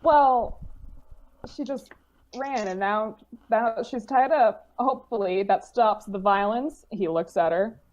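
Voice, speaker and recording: A woman's voice: fairly high pitch, a little slow, a little mellow. Casual woman player, muffled lo-fi mic. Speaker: tabletop game player. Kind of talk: casual in-game chat. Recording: muffled lo-fi microphone, faint noise.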